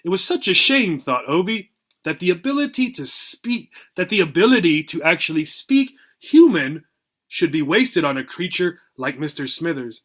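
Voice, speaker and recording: A man reading a children's story aloud as a teacher, deliberate and expressive, emphasising words for learners. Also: a little high.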